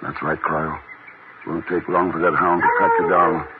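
An actor playing a calm, dry secret agent in a 1950s radio drama, clipped and matter-of-fact. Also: male voice, low-pitched, normal speed, natural voice. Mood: dry, pressing. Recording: noisy, tinny 1950s radio recording.

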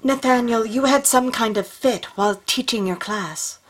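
A woman's voice: a little high, moderate pace, natural voice. An actress playing an anxious, protective wife and mother in a radio drama, questioning with worried insistence. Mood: wary, insistent.

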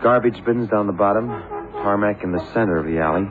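Detective's sidekick played by a man, lowish voice, deferential and factual, on a tinny old radio recording. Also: quick pace, lightly acted. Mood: matter-of-fact. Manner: matter-of-fact, reporting details. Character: observant, deferential male assistant Johnny, matter-of-fact. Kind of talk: 1970s radio crime drama acting.

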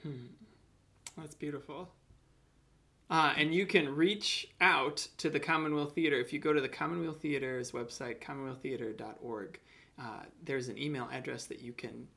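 Man, podcast host, gravelly fry in the voice, mid-pitched, casual interviewing style. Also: steady tone, moderate pace, sharp tone.

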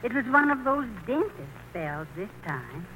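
A woman's voice: a little high, big pitch swings, moderate pace, sharp tone. An actress playing a fretful, sentimental older mother of a grown son in a 1940s radio play. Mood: fussing. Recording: noisy old radio broadcast recording.

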